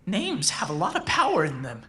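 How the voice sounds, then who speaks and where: A woman's voice: lowish voice, wildly swooping pitch, a little fast, pushed and bright, heavily acted. A woman playing an intense young robot who was born human, pleading and menacing, with an emphatic, pressing way of talking in an audio drama. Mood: wistful. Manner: emphatic, repetitive, emotionally pressing.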